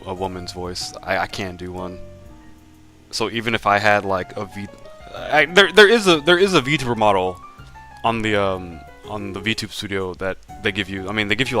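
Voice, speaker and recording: A man's voice: low voice, pushed and bright. A young man drawing live on stream, chatting casually with viewers, stammering and repeating words, laughing often.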